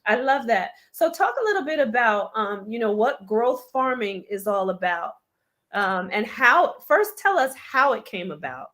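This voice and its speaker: Slightly hoarse female show host. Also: a little high, very animated, a little slow, a bit bright. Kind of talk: casual interview chat.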